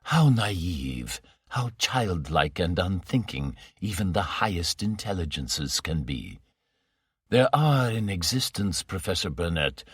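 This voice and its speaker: A man voicing a calm, menacing villain who speaks mildly and slowly. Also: slightly rough, dry recording, studio quality, full character voice. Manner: mild, low, slow and quiet. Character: cold, sinister mastermind, calm and menacing.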